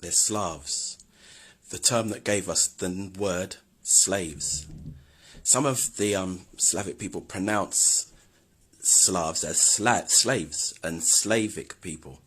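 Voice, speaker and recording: Husky, very deep-voiced man. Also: takes it slowly, fairly bright tone, poor audio. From London, England. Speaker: video host. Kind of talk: scripted history commentary.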